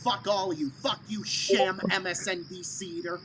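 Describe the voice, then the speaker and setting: A man hosting a live stream call, ranting loudly and swearing constantly. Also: sits high, fairly even intonation, normal speed, over music. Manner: profane, loud ranting.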